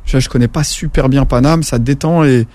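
Mellow-voiced man, chatty and rambling. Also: mid-range voice, normal speed, no room sound. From France. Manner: rambling, restarts often, slangy. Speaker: guest, former taxi driver. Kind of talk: casual interview talk.

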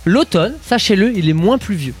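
A man's voice: high voice, lively intonation, moderate pace, husky, faint music behind. A man co-hosting a morning radio show, chatting casually and explaining figures with asides. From Alsace, France.

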